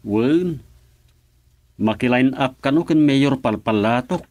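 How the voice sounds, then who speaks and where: An actor playing a husband who calmly reassures his wife in a radio drama. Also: mid-range voice, slow pace, no room sound. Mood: reassuring.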